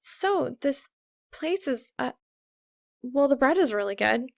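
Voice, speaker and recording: A woman voicing a flustered, earnest young college woman who talks haltingly and gets defensive, in an audiobook story. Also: sits high, expressive, gravelly, no echo, clean studio sound, voice pushed up, full character voice. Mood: awkward, forced cheer. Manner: halting, stammering, blurting.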